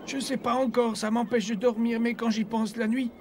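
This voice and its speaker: A kindly, concerned grandfather acted by a man with a high voice for an older man. It is a French cartoon dub, with faint background noise. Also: level tone, moderate pace, darkish tone. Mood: worried.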